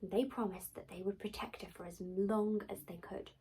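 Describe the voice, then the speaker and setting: A woman telling a fairy tale dramatically, with theatrical delivery and deliberate pauses. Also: mid-range voice, steady tone, dark tone, husky. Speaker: storyteller.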